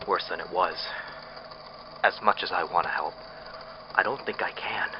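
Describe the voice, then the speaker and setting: An actor playing a frightened, bitter captive test subject recording an escape diary in an audio drama, speaking in a halting, hesitant way. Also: male voice, low voice, steady tone. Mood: grim, weary. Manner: halting, hesitant, breathy sighs. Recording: muffled lo-fi tape with clear noise.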